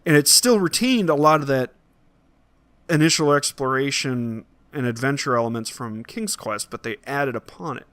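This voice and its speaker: Regular guy podcast cohost, mid pitch, explaining his take, really dry sound no room echo. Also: moderate pace, fairly bright tone. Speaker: podcast co-host. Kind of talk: casual opinionated discussion.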